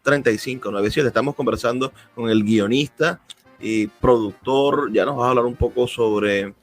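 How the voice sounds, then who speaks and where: A man's voice: mid-range voice, normal speed. Venezuelan man hosting over music. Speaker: radio host and interviewer. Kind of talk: radio interview hosting.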